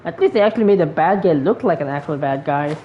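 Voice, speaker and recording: A woman's voice: sits low, expressive, fast. A woman giving casual, rambling gameplay commentary in an informal way. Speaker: gameplay streamer. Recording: dull lo-fi mic over game noise.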